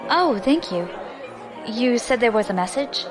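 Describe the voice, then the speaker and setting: A woman's voice: on the high side, expressive, a little slow, over music, a crowd in the background. A woman playing a plucky, friendly young adventurer in an audio drama, with a casual, informal manner. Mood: polite.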